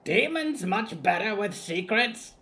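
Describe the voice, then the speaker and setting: A male narrator voicing a curious, gossipy raven-demon that croaks and talks about itself in the third person. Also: high-pitched, big pitch swings, takes it slowly, sharp tone, voice pushed up, heavily acted. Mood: triumphant. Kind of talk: audiobook character voice.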